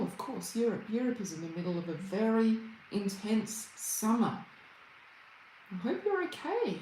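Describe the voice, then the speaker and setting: An Australian woman chats on a livestream, her pitch rising and falling animatedly as she greets viewers by name. The room around her is echoey, with faint noise underneath. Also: a little mellow. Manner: chatty, greets viewers by name, laughs easily. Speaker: Reiki healer and livestream host.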